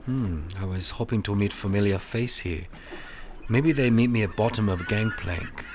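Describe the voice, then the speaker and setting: A genial Chinese detective , played by a male actor, with a fairly low voice. He speaks in courteous, clipped broken English, humble and full of aphorisms, in the manner of a 1930s mystery film. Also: moderate pace, darkish tone, slight background noise, natural voice.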